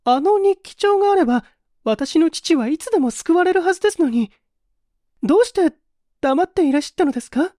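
A male reader puts on a demure young daughter in an old mystery story. The voice is very high and mellow, recorded dry. She speaks softly and formally, with gentle, polite phrasing. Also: lively intonation, fairly quick, no echo. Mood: hesitant, questioning. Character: polite, grateful young woman, devoted daughter, gently inquisitive.

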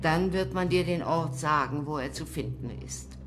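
An actress playing an ancient, dignified, mysterious female elder in a radio drama, solemn and deliberate. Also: low voice, music in the background, lightly acted. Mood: solemn, secretive. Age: older.

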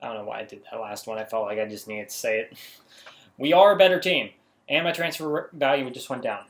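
A man's voice: mid-range voice, brisk. A man giving casual gameplay commentary, talking to himself in exasperation and often repeating phrases. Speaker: gaming video creator.

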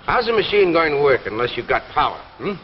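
An actor playing a patient, skeptical middle-aged father who questions and explains gently in a 1950s television drama. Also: fairly high pitch, big pitch swings, fast talker, a little hiss or hum. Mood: patient, challenging. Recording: dull 1950s television soundtrack.

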